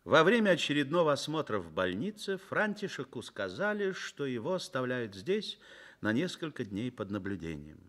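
A man narrating a Soviet radio drama in a measured, dramatic storytelling manner. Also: mid-range voice, sing-song, a bit bright.

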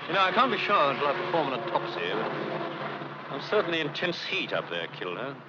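An actor playing a wry, philosophical research scientist who teases and muses in 1950s film style. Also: male voice, a little high, big pitch swings, fast talker. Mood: wry, musing. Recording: muffled noisy 1950s film soundtrack.

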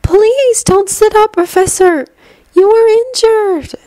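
A woman voicing a calm, caring teenage girl held prisoner in a story, soft-spoken and gently firm. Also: very high-pitched, slow pace, no echo, voice pushed up. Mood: gentle, firm.

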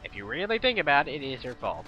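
A man's voice: mid-range voice, big pitch swings, quick pace, pushed and bright, muffled recording, soft background music. A man with a nasal, congested voice rambling and swearing while he commentates his game playthrough. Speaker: gamer commentator. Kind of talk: casual let's play commentary.

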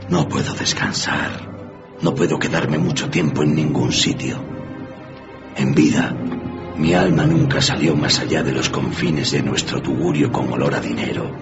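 A tormented old-world ghost in a radio play, with an extremely low voice. He laments solemnly and stretches words for weight, full of remorse. The sound is dull, with prominent music behind. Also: creaky, exaggerated voice. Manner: solemn, lamenting, drawn-out emphatic delivery. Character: tormented ghost of a dead miserly businessman, remorseful. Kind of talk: radio drama acting. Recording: dull muffled recording under loud music.

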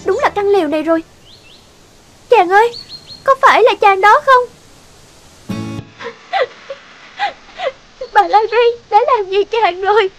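A woman's voice: very high voice, moderate pace. A woman voicing an anxious, devoted young fairy-tale heroine in a cartoon, earnest and plaintive. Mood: tearful, pleading.

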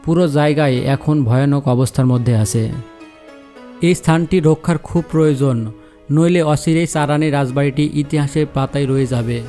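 A man with a slightly raised pitch narrates a travel vlog in a steady, descriptive voice-over. Soft background music sits faintly underneath his scripted reading. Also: normal speed.

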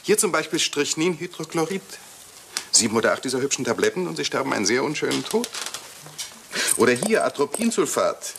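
An actor playing a suave Italian doctor who talks of poisons with polite, sardonic relish in a radio play. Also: male voice, a little high, sing-song, fairly quick, vocal fry, a bit bright, heavily acted. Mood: morbidly amused. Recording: hissy old radio play recording.

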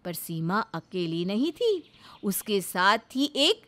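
A woman's voice: medium pitch, very animated, slow pace, a bit bright. A woman narrator reading a scripted family story.

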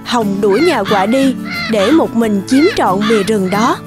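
A woman's voice: on the high side, lively intonation, measured pace. A woman reading scripted fairy-tale narration for a cartoon. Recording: music behind the voice. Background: music.

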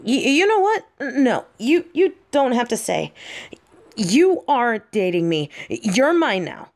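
A woman playing a possessive, brash but secretly smitten bully girl in an audio roleplay, speaking straight to the listener in a bossy, halting way. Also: fairly high pitch, very animated, heavily acted. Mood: possessive, flustered. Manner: bossy, taunting, flustered halting delivery.

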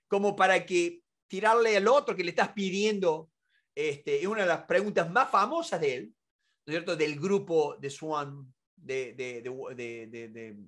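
A man's voice: high voice, fairly bright tone. A man in his forties with an Argentine Spanish accent gives an informal lecture to camera, emphatic and full of rhetorical questions. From Córdoba, Argentina. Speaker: negotiation expert and instructor. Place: indoor room, seated at a desk.

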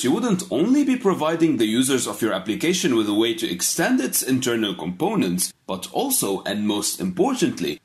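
A man narrating a scripted programming tutorial, explaining code step by step in a measured way. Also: mid-range voice.

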